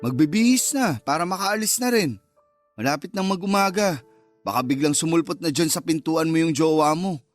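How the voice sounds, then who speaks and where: A man's voice: fairly high pitch, expressive, normal speed, faint music behind, natural voice. A man acting a cocky, teasing and easily wounded secret lover, with a Filipino accent, in a radio love drama. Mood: irritated.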